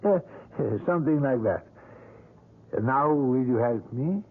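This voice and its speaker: An actor in an old-time radio drama playing a courteous but sinister, pompous sculptor, formal, hesitant and insinuating. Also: male voice, medium pitch, expressive, takes it slowly. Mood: polite, probing. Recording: tinny old radio broadcast.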